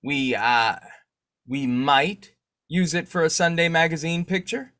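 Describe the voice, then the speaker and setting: A man playing an urbane newspaper publisher in an old-time radio drama, measured, polite and formal with a sly streak. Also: on the high side, pushed and bright. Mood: businesslike, sly.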